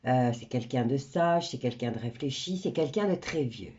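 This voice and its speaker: A woman's voice: rumbling low, lively intonation, normal speed, mellow, highs cut off. A woman with a stuffy cold doing a casual tarot reading for viewers, chatty and rambling with many hesitations. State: stuffy nose from a cold, coughing. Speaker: tarot card reader.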